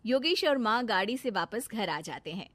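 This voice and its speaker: A woman narrating a moral story in a clear, steady storytelling manner. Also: fairly high pitch, moderate pace, dry recording.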